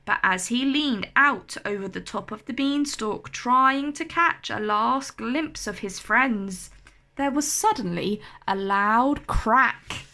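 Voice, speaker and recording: A woman reading a children's storybook aloud as narrator, with expressive, deliberate delivery. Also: fairly high pitch, vocal fry.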